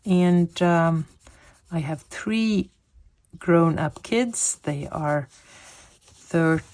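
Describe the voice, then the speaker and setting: A woman's voice: fairly low pitch, extremely slow, a little mellow. A woman in her late fifties from Sweden, speaking English as her second language, chatting casually and searching for words while she colors. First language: Swedish. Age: 50s. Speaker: coloring vlogger.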